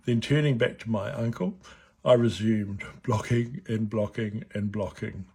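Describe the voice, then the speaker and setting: A man in his seventies from New Zealand with a New Zealand accent who stutters at times, gently reading a personal reflection. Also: mid-range voice, vocal fry, breathy edge. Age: 70s. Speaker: board chair of a stuttering charity. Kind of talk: personal reflection read aloud.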